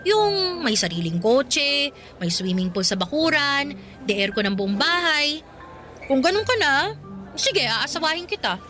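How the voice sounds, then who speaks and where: A woman's voice: sits high, sing-song, measured pace, bright, forceful tone, full character voice. An actress playing a feisty, blunt young working-student girlfriend with a Filipino accent, sassy and complaining, in a radio drama. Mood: sassy, teasing. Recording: music behind the voice.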